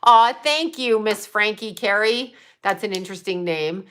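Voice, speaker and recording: A woman's voice: medium pitch, a little slow, a bit bright, clean tone. A woman in her fifties, hosting a casual livestream, chatting bluntly and profanely while answering viewer comments. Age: 50s. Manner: blunt and profane, trash-talking trolls between chatty tips. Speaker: livestream host.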